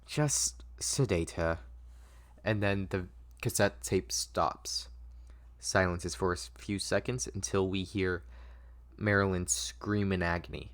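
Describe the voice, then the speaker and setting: A man's voice: low voice, flat delivery, a little slow, no room sound. A man narrating a script at a table read, adding casual asides as he goes.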